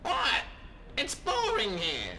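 A man's voice: very high voice, huge pitch swings, takes it slowly, pushed and bright, full character voice. A man voicing a cheeky, flippant computer sidekick who bores easily, in a radio drama. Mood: cheeky, bored. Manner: flippant, teasing.

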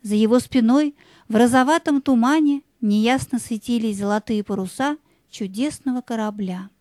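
Mellow woman narrating a fairy tale. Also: a little high, dry recording, clean studio sound. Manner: measured, expressive storytelling.

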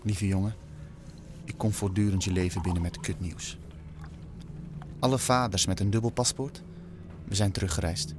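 A man's voice: deep voice, steady tone, moderate pace, long pauses, noisy background, natural voice. An actor playing a stern, wounded middle-aged father in an audio drama, grave and emphatic. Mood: grave, pleading. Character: stern, wounded immigrant father urging loyalty and manhood.

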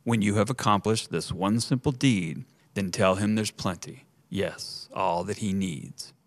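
A man voicing a gentle, mild-mannered grown son in a rhyming story, speaking quietly and slowly. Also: low voice, dry recording. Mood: calmly instructing. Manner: gentle, unhurried, soft.

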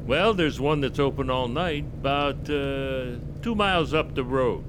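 An actor playing a friendly, protective highway trooper giving plain-spoken advice in an old-time radio drama. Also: male voice, unhurried, a bit bright, natural voice. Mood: helpful, cautioning. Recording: noisy vintage radio, vehicle sounds behind.

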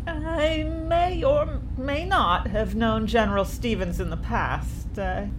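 An actress playing a brash, affectionate, wealthy shuttle tycoon in an audio drama, talking in a folksy, effusive way. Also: female voice, fairly high pitch, slow, noisy background. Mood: fond, earnest. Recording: music under the voice.

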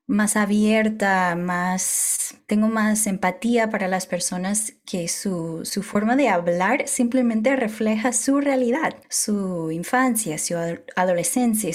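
Bilingual woman, English first language. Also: mid-range voice. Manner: reflective, laughs lightly. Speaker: podcast guest. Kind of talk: interview conversation.

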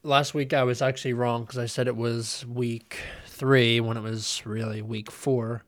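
Young male host, nasal head cold, rambling, middle-pitched, dry close recording. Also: a little slow, no echo. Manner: rambling, relaxed, with many ums and uhs. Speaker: podcast host. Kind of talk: casual solo podcast chat.

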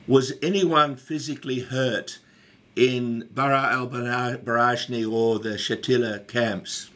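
A man asks an interviewee calm, measured questions in a radio interview. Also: medium pitch.

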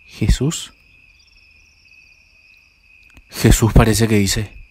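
A man's voice: lowish voice, level tone, slow pace, a little mellow, breathy edge. A man playing a laid-back, dismissive young university student in an audio drama, speaking casually with a Paraguayan accent. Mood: puzzled.

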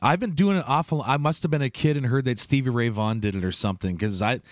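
A man of middling pitch hosting a laid-back conversation, rambling and easygoing. The sound is dry and close, with no room around it. He laughs easily between his questions. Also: fast, no room sound. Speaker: podcast host. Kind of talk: casual interview chat.

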